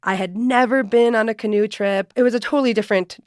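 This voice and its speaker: A woman outdoor guide in her late thirties or forties, born in Ecuador and raised in Minnesota, telling her story with lively expression in a podcast interview. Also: fairly high pitch, quick pace, dry recording, studio quality. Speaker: outdoor adventure guide, guest.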